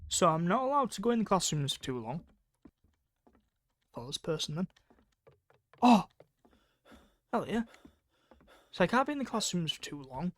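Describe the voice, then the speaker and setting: A woman's voice: lowish voice, very animated, normal speed, long pauses. A woman giving casual, reactive commentary while playing a game, muttering to herself and swearing mildly at it. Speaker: gamer narrating own playthrough.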